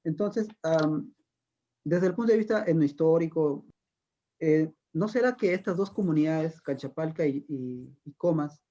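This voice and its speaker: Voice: medium pitch, unhurried, dark tone, lo-fi sound. An interviewer asking a long, conversational question, hesitating and repeating words.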